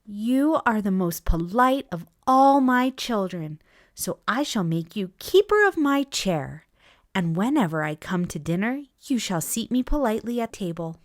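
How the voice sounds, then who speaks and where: A proud, gentle mother rabbit from a children's storybook, acted out by a male reader. The voice is lifted high and swings expressively, speaking politely and with soft pride, close and dry. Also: very animated, measured pace, no room sound. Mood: kindly.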